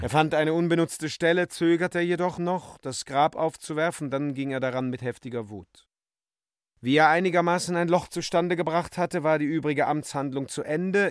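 A man narrating a literary radio drama in a theatrical way, moving between declamation and hushed, measured reading. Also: mid-range voice, dry recording, clean studio sound.

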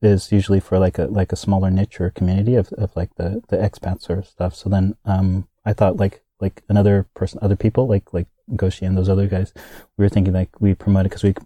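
A man's voice: deep voice, flat delivery, quick pace, warm tone, no room sound. A man being interviewed, talking casually and rambling, with many fillers and restarts. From United States. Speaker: guest.

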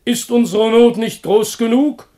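A man's voice: very high-pitched, steady tone, a little slow, dark tone. A man playing an indignant, gullible poor peasant, speaking in stately declamation in a German classical comedy radio play.

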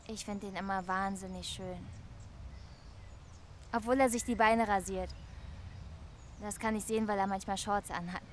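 A woman's voice: mid-range voice, steady tone, normal speed, long pauses, a little hiss or hum, close to an everyday voice. A woman playing a lonely young woman in love, confiding hesitantly and musingly in a German radio play. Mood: wistful musing. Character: lonely, wistful young woman quietly in love with her neighbour.

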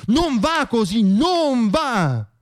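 A man's voice: very high voice, sing-song, drawn-out words, voice pushed up, heavily acted. Satirical radio play: slick, patronising media operator, performed by a man, raised voice, pushy and theatrical. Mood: exasperated, dismissive. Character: cynical, slick male media man brushing off protesters. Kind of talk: satirical stage monologue.

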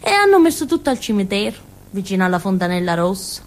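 An actress playing a naive, childlike village simpleton girl with a Calabrian accent, in a halting, repetitive theatre monologue. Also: medium pitch, sing-song, a little hiss or hum, natural voice. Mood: earnest, simple. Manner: simple, repetitive, halting, with questioning tags and giggles. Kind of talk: theatrical monologue in colloquial dialect-tinged Italian.

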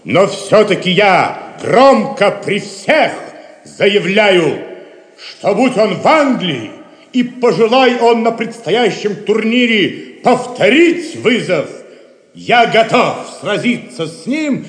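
A man's voice: high-pitched, very animated, slow, full character voice. A man acting a proud, arrogant Templar knight in a theatrical audio drama, speaking in a formal, declamatory manner. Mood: haughty challenge. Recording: echoey hall with long reverb.

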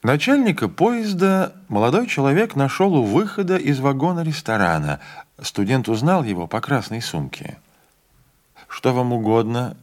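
A man narrating a literary audiobook with an expressive reading, giving the characters' lines a voice of their own. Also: mid-range voice, moderate pace.

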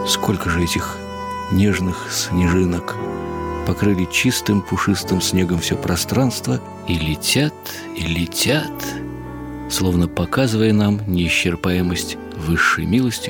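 An older man giving a reflective, expressive and unhurried dramatic reading of literary prose for radio. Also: sits low, gravelly. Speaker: narrator. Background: music.

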